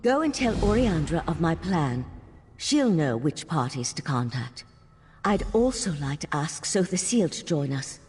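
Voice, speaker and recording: Serious fantasy leader lady, husky voice, formal and determined, lots of hall reverb. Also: low-pitched, a little mellow, exaggerated voice. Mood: weary determination. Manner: formal, deliberate, persuasive. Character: earnest, resolute fantasy leader, burdened yet composed. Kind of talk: fantasy video game dialogue.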